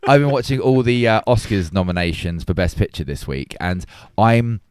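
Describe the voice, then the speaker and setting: A man's voice: on the low side, lively intonation, normal speed, a little mellow, clear, resonant tone, no room sound. A middle-aged man, chatting casually as a co-host of a film podcast. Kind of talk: casual chat.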